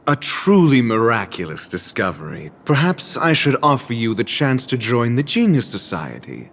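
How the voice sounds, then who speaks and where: An actor playing a haughty, erudite scholar in a video game, speaking in ornate formal phrases with dry, condescending sarcasm. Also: male voice, mid-range voice, very animated, moderate pace, fairly bright tone, a little hiss or hum. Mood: sarcastic, exasperated. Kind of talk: video game cutscene voice acting. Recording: background music under studio game dialogue.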